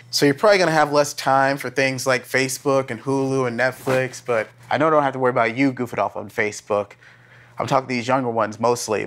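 A man's voice: medium pitch, fairly bright tone, natural voice. An American man in his thirties playing a blunt, earnest graduate teaching assistant instructing a class in a casual, plain-spoken way. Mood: instructive. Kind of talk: classroom instruction, acted dramatization. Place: classroom lab, indoors.

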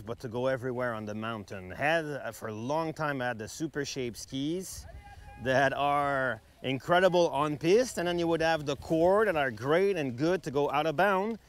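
A middle-aged man gives an enthusiastic, conversational product review to camera as a ski reviewer, stressing words emphatically. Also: on the high side. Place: outdoors on a ski slope.

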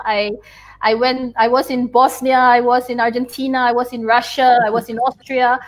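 Earnest woman with a higher voice. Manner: earnest, listing places with many fillers. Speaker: course co-founder. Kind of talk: video call introduction. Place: indoor room, video call. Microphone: webcam mic on video call.

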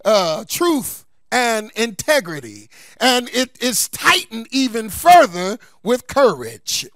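A man's voice: very high voice, sing-song, slow pace, fairly bright tone, dry recording, clean studio sound. A man preaching a sermon as a pastor, emphatic and folksy, repeating key phrases for stress and slipping into colloquial asides. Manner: colloquial, folksy asides between scripture points.

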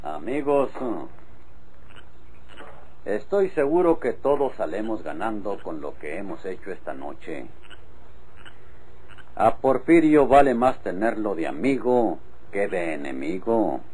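An actor playing a hearty, domineering rural host with a Mexican accent in an old radio drama. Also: male voice, lowish voice, very animated, slow pace, long pauses, a little mellow, a little hiss or hum, rough recording. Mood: satisfied.

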